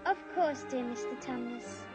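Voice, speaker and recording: A female performer playing a polite, earnest, tender-hearted little girl in an animated fantasy. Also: on the high side, moderate pace, loud music behind the voice, natural voice. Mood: gentle concern. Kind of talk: animated fantasy film acting.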